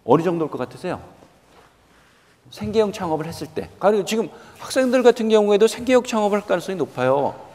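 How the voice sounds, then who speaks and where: A man in his fifties speaks as a guest lecturer in a reverberant hall. His pitch moves in lively sweeps. He is emphatic, repeats his main points and turns questions directly on his listeners. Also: fast. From South Korea. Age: 50s. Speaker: guest lecturer, company executive. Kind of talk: motivational lecture to students.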